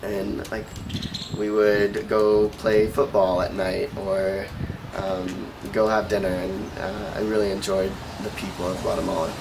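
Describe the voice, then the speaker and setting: A man's voice: sits low, little pitch movement, a little slow. A young American man from Oregon giving casual interview answers about his experience as a volunteer. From Oregon, United States. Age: young adult. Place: outdoor rooftop terrace. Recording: clear outdoor background noise.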